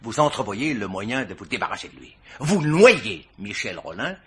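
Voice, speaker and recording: A man's voice: lowish voice, big pitch swings, normal speed. An actor playing a shrewd male investigator in a French radio mystery, theatrical, methodical and insistent as he accuses. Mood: pressing accusation. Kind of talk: French radio detective drama acting.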